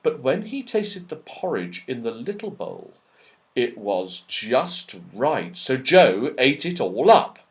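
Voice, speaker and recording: Male children's audiobook narrator, unhurried and highly expressive, dramatic emphasis.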